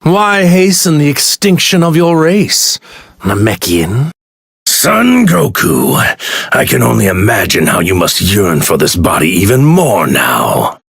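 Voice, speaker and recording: An actor playing an arrogant god in a stolen body, pompous and sneering in formal, grandiloquent speech for an anime fighting game. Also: male voice, fairly low pitch, huge pitch swings, measured pace, creaky, husky, no room sound, studio quality, full character voice. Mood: arrogant, taunting. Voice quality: theatrical, sneering delivery.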